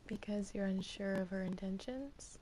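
A woman plays a quiet, needling female character in a game roleplay. She remarks on others' emotions in a low-key, scolding way. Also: moderate pace, slight background noise, close to an everyday voice. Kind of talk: improvised Minecraft roleplay.

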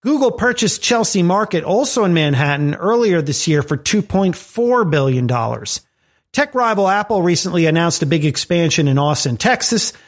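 A man hosting a podcast, reading scripted commentary with wry asides. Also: a little high. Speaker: podcast host. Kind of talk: scripted commentary on tech and music.

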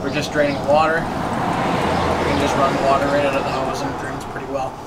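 A man giving a casual, hands-on how-to narration as a DIY video host. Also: mid-range voice. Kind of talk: casual how-to narration of a trailer plumbing setup. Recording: clear background noise.

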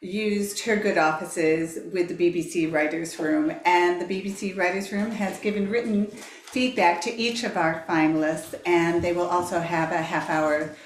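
A woman's voice: fairly low pitch, fairly even intonation, normal speed. A middle-aged woman with a British accent presenting an event as its host. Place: indoor parlour with fireplace. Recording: roomy, noisy parlour pickup.

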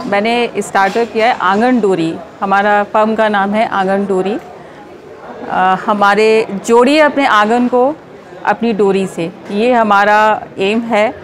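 A middle-aged woman explaining her handmade products at an exhibition stall, unhurried, mixing Hindi with English. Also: mid-range voice. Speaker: startup owner showing products. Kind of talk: casual product walkthrough at a stall. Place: indoor exhibition hall stall. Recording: busy expo crowd behind.

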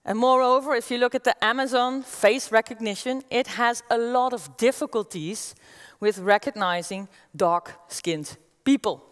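A woman in her forties with a Dutch accent, giving an energetic conference keynote on a stage, talking through a headset mic. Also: on the high side, normal speed, clean tone. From Netherlands. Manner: energetic, gestures as she walks the stage. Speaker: keynote speaker. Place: large auditorium stage with audience. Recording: long reverb of a large hall.

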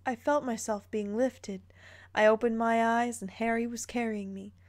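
Higher-voiced woman reading aloud with playful asides. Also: steady tone, moderate pace, dry recording, studio quality. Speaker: commentator reading fan fiction aloud. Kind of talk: dramatic read-aloud with casual asides.

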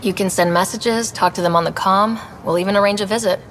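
A woman's voice: mid-range voice, steady tone, fast talker, a bit bright, a little hiss or hum, natural voice. A woman playing a confident young human explorer in a video game, with a direct, casual way of talking. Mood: casual, assured.

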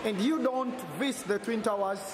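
A man from Uganda in his thirties, a travel vlogger chatting and teasing strangers on a city plaza. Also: high voice. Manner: chatty, teasing, asks quick questions. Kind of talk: casual street vlog. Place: busy city plaza outdoors. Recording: loud crowd and street noise. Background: crowd and city noise.

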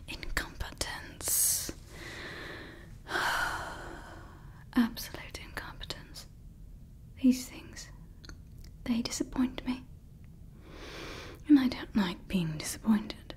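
A woman's voice: high-pitched, mellow. A young woman playing a haughty female evil scientist in an ASMR roleplay, whispering close to the mic, scolding her staff and fussing over her creation. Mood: irritated, scolding. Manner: mostly whispered, scolding and fussy. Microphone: close sensitive ASMR mic.

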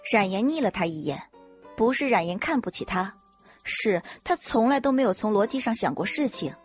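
A woman narrating a web audio novel in an even storytelling manner. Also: medium pitch, normal speed, soft background music.

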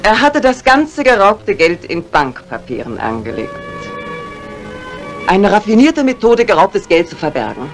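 An older woman acting a shrewd, composed crime writer in a 1950s radio mystery, speaking with deliberate, theatrical phrasing. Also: medium pitch, big pitch swings. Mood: grave, revealing. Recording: vintage radio recording with music.

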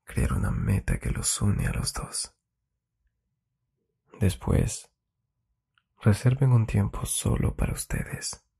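A man with a very creaky, low voice speaks in a soft, intimate ASMR style. His delivery is slow and husky, drifting into whispers close to the microphone. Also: huge pitch swings. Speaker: ASMR host. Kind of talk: intimate Spanish ASMR relationship advice. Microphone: close-up binaural microphone.

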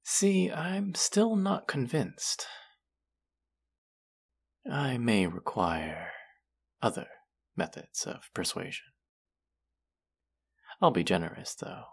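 A man's voice: medium pitch, expressive, slow, creaky, long pauses, husky, heavily acted. A man playing a condescending, teasing young twin brother in an audio roleplay, with a mocking, drawling delivery aimed at the listener. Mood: smug, teasing. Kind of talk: scripted roleplay addressed to listener.

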